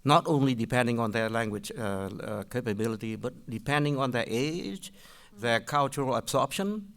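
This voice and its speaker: An older man from Vietnam with a Vietnamese accent, asking questions at a public meeting in a halting way, with many restarts. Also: mid-range voice, fairly bright tone. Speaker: public commenter.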